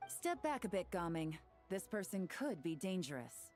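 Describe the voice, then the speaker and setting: A woman playing a composed, no-nonsense investigator in a video game, measured and authoritative. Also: fairly low pitch, very animated, a little mellow, faint music behind. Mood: wary, calming. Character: composed, no-nonsense female investigator, firm and wary.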